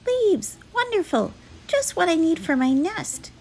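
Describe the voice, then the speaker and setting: A woman's voice: very high voice, big pitch swings, a little slow, a little mellow, a little hiss or hum, voice pushed up, full character voice. A woman voicing a cheerful, carefree squirrel in a storybook, delighted and breezily dismissive. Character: scampering squirrel, cheerful, brisk, carefree leaf gatherer.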